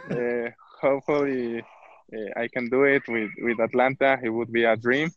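A man's voice: mid-range voice, flat delivery, normal speed, lo-fi sound, a little hiss or hum. Hesitant man with a Mexican accent. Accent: Mexican Spanish. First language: Spanish. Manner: hesitant, searching for English words with many restarts. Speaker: football player. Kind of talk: interview.

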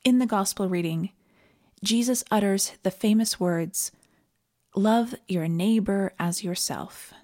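A Canadian woman giving a reflective, scripted sermon in an earnest and measured manner. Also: medium pitch, dark tone. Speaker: preacher.

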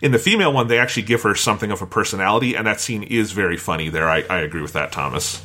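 A man's voice: fairly low pitch, rapid-fire, pushed and bright. A man talking casually as a podcast co-host, giving opinionated and blunt reviews.

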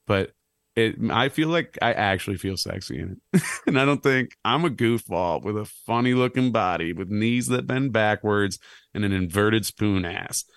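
A man in his thirties with a low, slightly raspy voice, chatting casually on a podcast as a guest, rambling and self-deprecating. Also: normal speed, no room sound, clean studio sound. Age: 30s. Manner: rambling, self-deprecating, animated when joking. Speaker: clown and musician guest.

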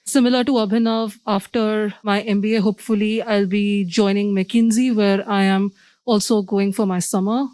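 A woman's voice: medium pitch, steady tone, moderate pace, a little mellow, dry recording, rough recording. A woman from India, speaking in a composed, articulate way as a panelist. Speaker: MBA student panelist. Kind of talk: panel discussion.

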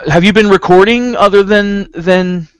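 A man's voice: high voice, normal speed, smooth, dry recording. A man hosting a casual podcast chat in a jokey, loose, informal way. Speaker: podcast host, musician.

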